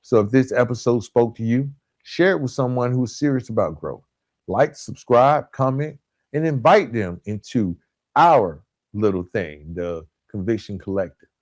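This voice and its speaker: A man delivers a motivational podcast monologue at a medium pitch. He is earnest and emphatic, breaking his points into short, deliberate phrases and repeating key words to drive them home. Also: expressive. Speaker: podcast host.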